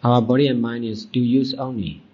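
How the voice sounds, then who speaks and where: A man teaching as a Buddhist monk, leading a guided meditation in deliberate short phrases with long pauses. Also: mid-range voice, steady tone, a little mellow, slightly rough. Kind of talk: guided meditation dhamma talk. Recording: dull, muffled lo-fi recording.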